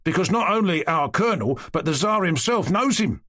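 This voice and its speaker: A man reading aloud voices a young male servant who talks gently but boasts about his master. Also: high-pitched, animated, moderate pace, pitched above the performer's own voice. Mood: eager. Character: young servant man, cheerful and self-important.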